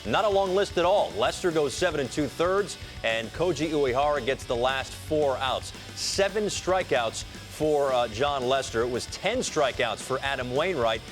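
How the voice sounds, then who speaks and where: American sports host, low-pitched, over music. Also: male voice, lively intonation, moderate pace, hardly any pauses. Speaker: sports studio show host. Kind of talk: postgame highlight narration and panel discussion. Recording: music bed behind the voice.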